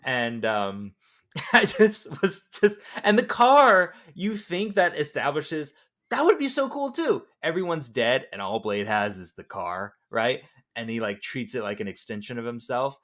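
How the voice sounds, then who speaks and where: A man's voice: medium pitch, big pitch swings, measured pace, a bit bright. A man in a casual film discussion, joking and riffing on scenes.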